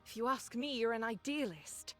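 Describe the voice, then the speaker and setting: A woman's voice: fairly high pitch, expressive, normal speed, fairly bright tone, soft background music. Resolute, frank female fighter. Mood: bitter, frank. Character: resolute, loyal woman squad fighter, wryly candid. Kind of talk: scripted game voice acting.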